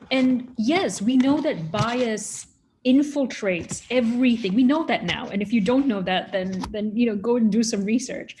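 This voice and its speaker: A middle-aged woman from Malaysia gives reflective answers on a webinar panel. Her voice sits at a medium pitch, and she speaks earnestly, halting and repeating words before pressing her point with emphasis. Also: a little mellow, slight background noise. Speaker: humanitarian professional, panelist. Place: remote online webinar.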